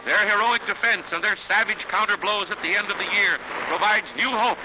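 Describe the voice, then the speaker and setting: A man's voice: high-pitched, moderate pace, noisy background. An American man narrating a 1940s newsreel in a dramatic, declamatory manner. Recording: 1940s newsreel soundtrack with loud music.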